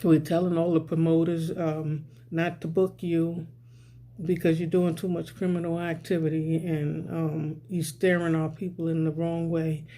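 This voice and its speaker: A man's voice: a little high, level tone, moderate pace, warm tone. A man vlogging a rambling, casual social commentary, often repeating "you know what I'm saying.".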